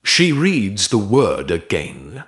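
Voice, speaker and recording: A man narrating dramatic romance fiction in a measured, deliberate way, in short phrases with pauses. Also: lowish voice, wildly swooping pitch, fairly bright tone. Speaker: audiobook narrator.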